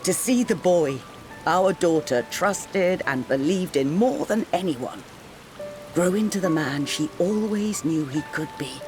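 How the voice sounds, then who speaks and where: An actress playing a middle-aged grieving mother in a video game, tender and gently scolding, with measured, heartfelt phrasing. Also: fairly low pitch, expressive. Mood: proud, tender. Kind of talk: dramatic video game voice acting. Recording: rain and music behind. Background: rain, music.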